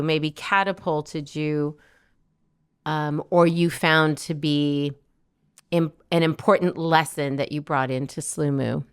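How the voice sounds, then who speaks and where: Low, slow, smooth-voiced woman host. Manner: gentle and reflective, with many "um" fillers. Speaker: podcast host. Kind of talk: interviewing a guest.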